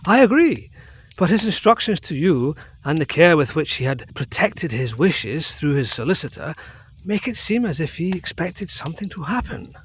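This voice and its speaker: An actor playing a learned, excitable doctor with a pedantic, emphatic, theatrical way of speaking in a period gothic audio drama. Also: male voice, fairly high pitch, moderate pace, slightly rough. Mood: earnest, speculative. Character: learned, excitable male doctor pondering mysteries.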